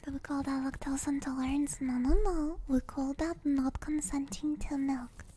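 A young woman whispering breathily and very close to the mic, chatting casually and playfully as an ASMR streamer, with some relaxed swearing. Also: high-pitched, normal speed, slight background noise. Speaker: ASMR streamer hosting a live hangout. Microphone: binaural 3Dio ASMR microphone, very close.

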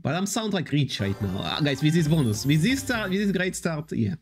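Lively man streaming casino slots. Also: fairly high pitch, a little fast, faint music behind. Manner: reads and answers chat, loose non-native English with repetitions. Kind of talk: live stream chat with viewers.

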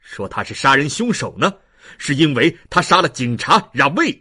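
A narrator playing a stern, husky young baron. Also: medium pitch, big pitch swings, sharp tone, heavily acted. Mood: stern, assured. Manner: commanding, deliberate.

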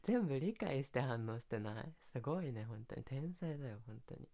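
A man's voice: medium pitch, expressive, normal speed, mellow, lightly acted. A young man acting as an affectionate dog owner in an ASMR roleplay, cooing and playfully baby-talking to his pet. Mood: doting. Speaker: ASMR voice performer. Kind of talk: Japanese ASMR situation voice roleplay.

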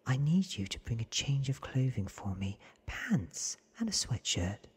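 A man's voice: medium pitch, measured pace, pitched above the performer's own voice, heavily acted. Man whispering as a cool, commanding vampire woman. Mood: urgent, controlled. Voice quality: breathy whisper. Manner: clipped, calm, commanding. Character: commanding, cool, secretive ancient female vampire. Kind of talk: ASMR whispered storybook dialogue.

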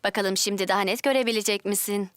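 A woman's voice: mid-range voice, steady tone, quick pace, fairly bright tone, smooth, dry recording, natural voice. A woman playing a patient, reassuring optometrist in a Turkish children's dub, guiding a child encouragingly step by step.

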